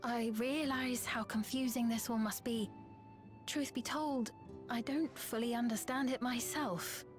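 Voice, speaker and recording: Game role: young queen female actor, gracious, formal, earnest, higher register, music under. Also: steady tone, unhurried, lightly acted. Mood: earnest, measured. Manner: formal, courtly diction. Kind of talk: video game voice acting.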